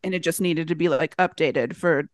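A woman's voice: deep voice, normal speed, no echo, studio quality. An American woman co-hosting a podcast in casual comedic chat, with a wry, joking manner. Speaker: podcast co-host.

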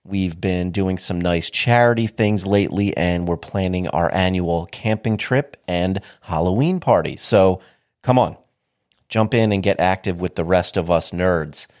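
Deep-voiced man hosting a podcast. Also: a little slow, a little mellow, no room sound, clean studio sound. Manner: wry, casual and informal. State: sniffly from allergies. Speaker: podcast host. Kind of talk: solo podcast monologue.

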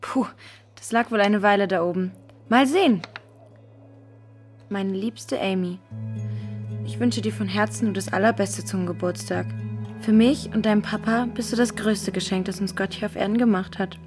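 A woman's voice: medium pitch, normal speed, pauses often, music in the background, lightly acted. A woman playing an earnest, sincere young girl who has lost her mother, in a children's audio drama. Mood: touched. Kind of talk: German children's radio play acting.

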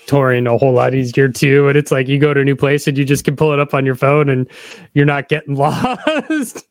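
A man's voice: mid-range voice, brisk, dry recording. A middle-aged man chatting casually as a podcast interviewer. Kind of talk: casual chat.

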